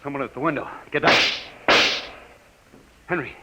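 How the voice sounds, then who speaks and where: An actor in an old-time radio drama playing a cautious, authoritative bureau superior with a deliberate, commanding manner. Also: male voice, a little high, natural voice. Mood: urgent. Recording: muffled, noisy old radio recording.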